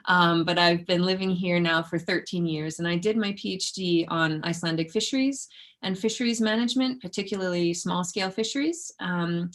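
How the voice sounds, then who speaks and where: A woman's voice: fairly low pitch, brisk, fairly bright tone. A woman researcher giving an informal academic talk in a conversational, self-effacing way. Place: indoors in an office, online presentation.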